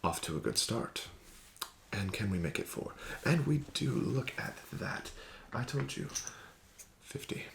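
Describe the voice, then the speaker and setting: Man, low gravelly voice, slow soft-spoken dealer patter, formal and coaxing, whispered asides, noisy clicky recording. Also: very animated. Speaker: casino card dealer in a roleplay. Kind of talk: ASMR roleplay, soft-spoken with whispered phrases.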